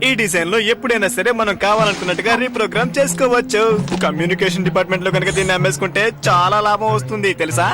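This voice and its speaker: A man's voice: sits high, fairly even intonation, racing, music in the background, natural voice. A man playing an insistent young inventor friend who gives orders in a dubbed cartoon. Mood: excited pitching. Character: bossy young inventor friend, eager racing-team helper.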